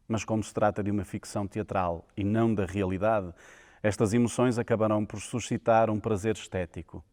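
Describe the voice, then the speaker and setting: Male narrator, European Portuguese accent, dark relaxed tone, scripted educational read, long reverb. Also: on the low side, a little fast.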